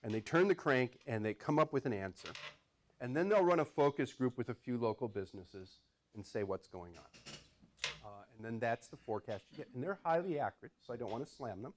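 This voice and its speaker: A man's voice: mid-range voice, animated, brisk, slight background noise. A man, an economics professor giving a live talk in a small room, conversational and wry, asking the audience questions. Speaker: economics professor, featured speaker.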